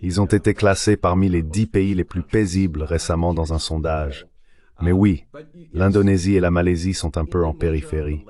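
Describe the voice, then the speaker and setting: Deep-voiced man, French voice-over. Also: fairly even intonation, normal speed, mellow. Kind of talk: French voice-over translation of a spoken commentary.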